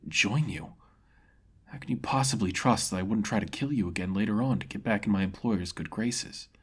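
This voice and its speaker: A man's voice: on the low side, normal speed, fairly bright tone, husky. A man voicing an honorable, remorseful male mercenary who speaks in a grave, formal, subdued way in a fantasy story. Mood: hesitant.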